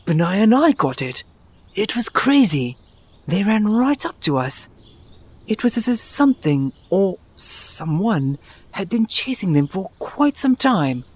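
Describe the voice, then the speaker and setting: A woman's voice: mid-range voice, very animated, measured pace, darkish tone, breathy edge. A woman playing an earnest young pack hunter in an audio drama. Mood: excited, wondering. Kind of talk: scripted amateur audio drama acting.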